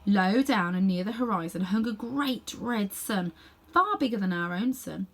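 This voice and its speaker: Woman, children's story narrator, expressive, very varied melody, medium pitch. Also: moderate pace. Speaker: storybook reader.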